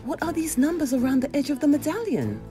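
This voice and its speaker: A woman voicing an eager, brave young squire boy in a storybook, excited and full of wonder. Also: high voice, fast, over music, voice pushed up.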